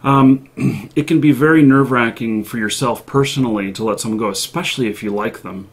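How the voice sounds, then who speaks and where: A male live stream host with a middle-pitched voice talks through his advice informally. He is deliberate and halting, often pausing on drawn-out filler sounds before finishing a thought. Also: breathy edge. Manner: halting, deliberate delivery with frequent long pauses and filler sounds. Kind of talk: casual advice monologue.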